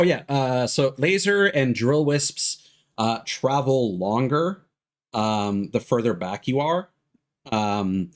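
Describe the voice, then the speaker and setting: A man giving casual, rambling, sweary commentary as he streams a video game. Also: medium pitch. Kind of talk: casual gaming livestream commentary. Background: game music.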